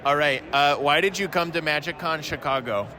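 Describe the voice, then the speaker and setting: A man's voice: a little high, normal speed. A man casually interviewing attendees on a convention floor, asking questions in a joking, offhand way. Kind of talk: casual vox-pop interviews. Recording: crowd noise on a convention floor.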